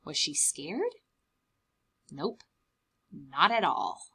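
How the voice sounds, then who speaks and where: A woman's voice: sits low, big pitch swings, drawn-out words, bright, forceful tone, slightly rough. A young woman reading a picture book aloud to small children, with dramatic emphasis and simple explanatory asides. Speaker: preschool teacher reading aloud.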